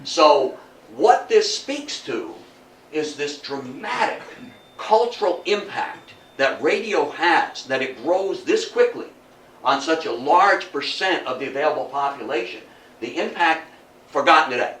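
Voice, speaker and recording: An older man giving a public history lecture in a theatrical, emphatic storytelling manner, with dramatic pauses and rhetorical questions. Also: on the high side, lively intonation, fairly bright tone. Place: indoor talk room before an audience. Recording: roomy, distant mic, faint noise.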